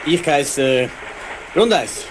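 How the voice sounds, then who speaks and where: A man playing a smooth-talking, shifty train passenger in a Swiss German children's radio play. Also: on the high side, expressive, slow pace, noisy background. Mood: oily, prying.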